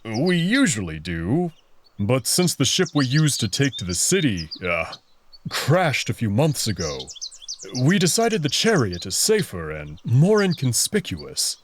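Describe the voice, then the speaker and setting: A man playing a proud, sulky young male alien wolf noble in a visual novel, measured and haughty with some hesitation. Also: medium pitch, wildly swooping pitch, a little hiss or hum.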